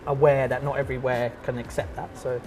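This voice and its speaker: A man, an artist and teacher chatting casually in an interview, relaxed and full of fillers and restarts. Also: mid-range voice, fast talker, music in the background. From London.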